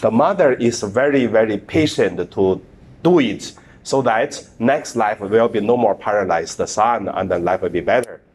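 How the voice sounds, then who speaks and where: A man, a priest whose first language is Mandarin, preaching a sermon in halting speech with many fillers and repeated words. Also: on the low side, husky.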